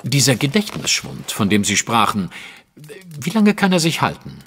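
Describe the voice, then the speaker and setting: An actor playing a shrewd, impatient gentleman detective in a German audio drama, speaking precisely and formally. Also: mid-range voice, very animated, normal speed, slight background noise. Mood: formal, insistent.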